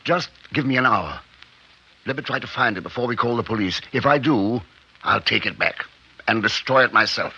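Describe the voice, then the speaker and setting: A man's voice: medium pitch, animated, moderate pace, sharp tone, slightly rough, full character voice. An actor playing an anguished, obsessive scientist protective of his creature, earnest and pleading in a 1950s radio drama style. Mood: tense determination. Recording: muffled, noisy 1950s radio recording.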